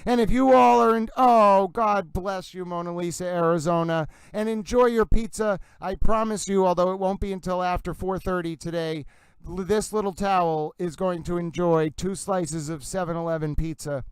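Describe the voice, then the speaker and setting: High, smooth-voiced theatrical male streamer. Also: fairly even intonation, moderate pace, no echo, low-quality recording. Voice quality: slightly breathless. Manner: theatrical, gushing, singsong put-on intonation. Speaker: livestream host. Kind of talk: casual livestream chat with viewers.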